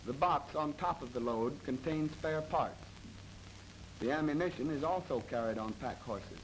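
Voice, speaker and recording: 1930s American training film narrator, noisy old soundtrack. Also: male voice, mid-range voice, expressive. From United States. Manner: formal, measured, matter-of-fact.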